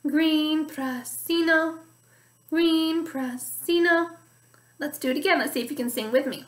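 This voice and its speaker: A woman in her thirties teaching a music lesson to young children from home, speaking slowly and clearly and singing phrases for them to repeat, recorded on a laptop webcam. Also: high voice. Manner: slow, clear teacher talk, sings call-and-repeat phrases. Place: dining room at home, indoors. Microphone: webcam or laptop at arm's length.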